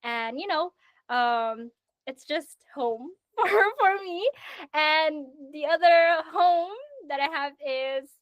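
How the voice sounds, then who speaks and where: A woman from Italy chatting casually as a panel guest, animated and laughing between phrases. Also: sits high, takes it slowly, no room sound, lo-fi sound. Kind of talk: casual chat.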